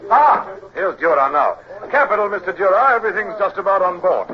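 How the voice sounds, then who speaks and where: An actor playing an excitable official in an old radio drama, speaking in a brisk, exclamatory way. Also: male voice, sits high. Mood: brisk, businesslike. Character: excitable British official on a naval supply errand. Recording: dull, hissy old radio recording.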